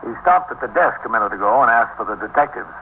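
A male actor plays a police captain from a 1950s radio drama, calm and firmly in charge. He talks in clipped, practical phrases at a middling pitch. The old broadcast sounds muffled, with a faint layer of noise. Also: fast talker, close to an everyday voice. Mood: matter-of-fact. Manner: clipped, authoritative, matter-of-fact. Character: police precinct captain, calm, commanding, matter-of-fact man.

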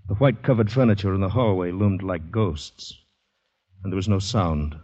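An actor playing a panicky, polite, shell-shocked Canadian man who narrates his ordeal intensely and haltingly in a 1950s radio drama. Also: low voice, dark tone, slightly rough, no echo. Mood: fearful, hushed. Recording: dull vintage radio recording, music behind.

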